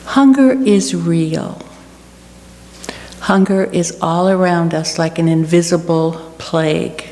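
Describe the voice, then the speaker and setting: Lady giving informal church talk, lowish voice, takes her time, echoey with a bit of noise. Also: fairly even intonation, slow pace, warm tone. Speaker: guest speaker, charity board president. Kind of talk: informal address to a congregation. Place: church sanctuary. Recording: reverberant hall with faint noise.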